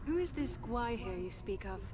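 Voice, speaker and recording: A woman's voice: on the high side, animated, normal speed, noisy background, close to an everyday voice. An actress playing a resolute young female adventurer in a fantasy game, earnest and formal in delivery. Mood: concerned, resolute. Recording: game soundtrack behind.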